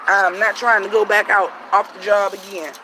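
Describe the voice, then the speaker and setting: High-voiced raspy man ranting. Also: level tone, moderate pace, sharp tone, slight background noise, poor audio. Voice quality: a little raspy. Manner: blunt, profane, ranting. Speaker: vlogger. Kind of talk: casual venting monologue. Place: at home at night, in the dark.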